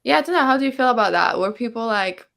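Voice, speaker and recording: A woman's voice: fairly high pitch, expressive, fast, creaky, a bit bright. A woman hosting a livestreamed book discussion, chatting casually and often acting out imagined reactions. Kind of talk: casual chat, reading out viewer comments. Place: online livestream call.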